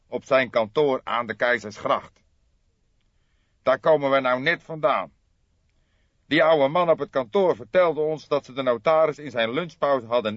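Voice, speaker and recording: A man's voice: medium pitch, a bit bright, no room sound, muffled recording, pitched above the performer's own voice, close to an everyday voice. A man voicing a brash, impatient man in his thirties with a raw, growling voice, in an audiobook detective story. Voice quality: raw, raspy.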